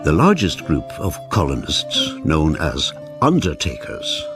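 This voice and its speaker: A man giving scripted documentary narration in a steady, explanatory manner. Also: low-pitched, slightly rough, dry recording.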